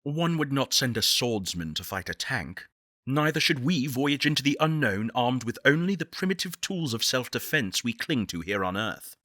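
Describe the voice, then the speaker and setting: A man playing an earnest, eloquent space-program director in a video game, speaking in measured, deliberate oratory. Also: medium pitch, animated, bright, forceful tone, dry recording, clean studio sound. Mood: lofty, reflective. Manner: articulate, deliberate, solemn.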